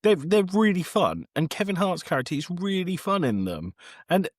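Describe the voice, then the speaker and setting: Animated man ranting on a podcast. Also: sits high, moderate pace, no echo, clean studio sound. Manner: exasperated, blunt, sweary. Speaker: podcast co-host. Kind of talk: casual film review chat.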